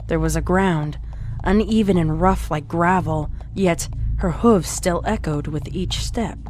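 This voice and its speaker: A woman reading a scripted story as narrator, in a measured, suspenseful, dramatic storytelling manner. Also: on the low side, a little mellow, a little hiss or hum. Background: music.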